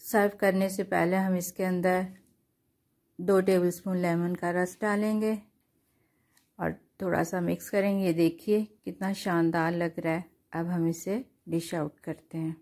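A woman with an Urdu-influenced Hindustani accent calmly explains a home cooking recipe step by step to viewers. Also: fairly low pitch, measured pace. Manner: calm, explanatory, addresses viewers directly. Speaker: home cooking channel host. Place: home kitchen at the stove.

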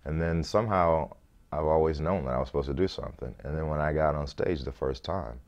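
A man in his thirties with a deep voice, a comedian chatting in an interview in a small studio, easygoing and laughing often, restarting words as he thinks. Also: fairly quick, a little mellow, highs cut off. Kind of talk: relaxed interview chat. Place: small indoor studio set.

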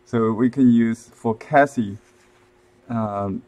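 A man in his fifties with a Chinese accent, explaining calligraphy on a live stream from a desk webcam, softly and with many fillers and restarts. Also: mid-range voice, takes it slowly. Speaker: calligraphy and seal-carving teacher. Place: indoor room with shelves, at a desk.

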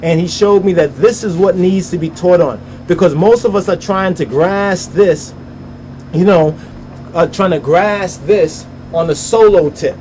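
A man with a mellow, somewhat high voice leads a casual bible study lesson. He sounds out words slowly, repeats them several times, and keeps asking whether the listener understands. There is a faint hum behind him. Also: rough recording. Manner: keeps asking "you understand?" after each point. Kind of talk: informal religious language lesson. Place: voice-over on slides.

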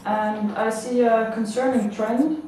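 A young woman from the Netherlands speaking deliberately and seriously to camera. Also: fairly high pitch, fairly even intonation, warm tone, a little hiss or hum. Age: young adult. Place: indoor room. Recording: echoey room, mic at a distance.

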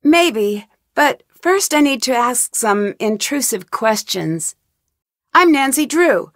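A woman's voice: high voice, animated, slow, fairly bright tone, no room sound, studio quality, heavily acted. A woman voicing a young woman detective in game voice lines, asking earnest, inquisitive questions in a polite tone. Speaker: voice actor. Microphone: studio mic, dry.

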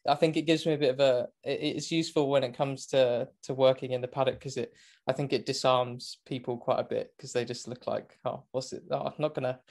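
A young British man in his twenties talks casually as a podcast guest. He is chatty and self-deprecating and laughs easily. His voice sits at an ordinary middle pitch. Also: fairly even intonation, brisk, darkish tone. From England. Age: 20s. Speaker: guest, motorcycle racing commentator. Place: home, remote call.

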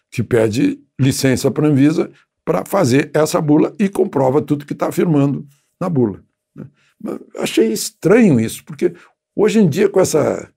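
An older man with a gravelly, slightly husky, mellow voice comments from a studio chair. He talks loosely and conversationally, pausing on fillers and tag questions. The sound is close and clean from a lapel mic. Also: a little high. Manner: seated, gesturing with both hands. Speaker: political commentator. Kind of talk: unscripted spoken commentary. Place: small studio with graphic backdrop.

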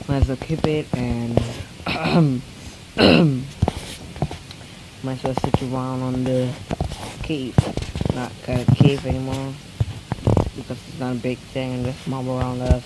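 A man's voice: medium pitch, a little slow, heavy background noise. A fourteen-year-old boy chatting through mobile game commentary, his voice weak from a recent illness, rambling with many restarts. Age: teens, fourteen. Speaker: gameplay commentator. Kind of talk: casual let's-play commentary.

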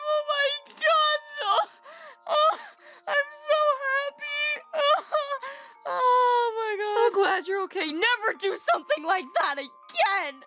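A woman's voice: extremely high pitch, bright, forceful tone, heavily acted. A woman voicing a tearful, clingy young cartoon cup boy, emotional and pleading, in a comic dub. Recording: music under the voice. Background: background music.

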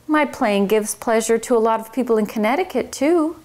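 A gentle, homesick male cricket who speaks softly and wistfully, voiced by a woman reading a children's book aloud. His tone is mild and unhurried. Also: a little high, pitched above the performer's own voice, lightly acted.

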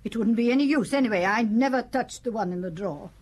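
Elderly housekeeper, husky voice, prim, curt, defiant, radio play. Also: female voice, a little high, fast, a little mellow. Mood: prickly, guarded. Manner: curt, prickly, guarded. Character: prim, defiant, pious seventy-one-year-old female housekeeper. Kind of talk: radio drama acting.

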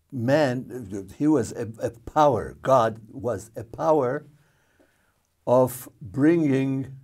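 Older man, gravelly and halting. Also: medium pitch, a little mellow. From Jerusalem. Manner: slow, halting, with many fillers and restarts. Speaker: interview guest. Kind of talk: reflective studio interview. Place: TV studio. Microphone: lapel mic.

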